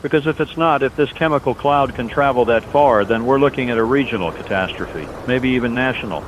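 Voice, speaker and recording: A man playing an earnest, steadfast overnight radio host in a scripted disaster drama, speaking in solemn, reassuring, deliberate phrases. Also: lightly acted. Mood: worried, uncertain. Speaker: late-night radio host in a fictional broadcast. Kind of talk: scripted radio drama monologue, emergency broadcast. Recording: dull lo-fi audio, loud outdoor rumble.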